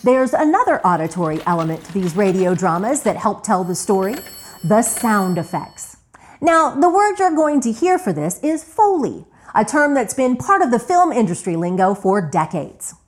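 A woman's voice: medium pitch, big pitch swings, normal speed, soft background music. A woman hosting and narrating a scripted radio documentary. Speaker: host narrator.

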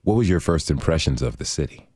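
A man's voice: very deep voice, expressive, fast talker, darkish tone, slightly rough, no echo, clean studio sound. A man hosting a public radio show, presenting and interviewing guests.

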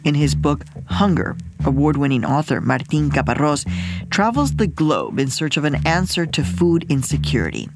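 A woman's voice: sits low, sing-song, normal speed, vocal fry, loud music behind the voice. A woman hosting a radio program, reading scripted narration and putting questions to a guest. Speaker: radio host.

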